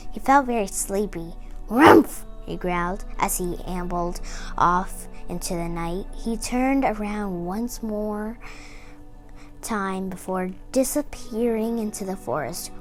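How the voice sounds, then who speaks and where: A woman reading a children's storybook aloud in an expressive narrating style. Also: medium pitch.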